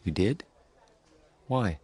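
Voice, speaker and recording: An actor playing a timid, sheltered, lonely man in his thirties in a radio drama, with a creaky voice and a hesitant, meek, halting delivery. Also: fairly low pitch, animated, warm tone, heavily acted. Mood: meek, uneasy. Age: 30s.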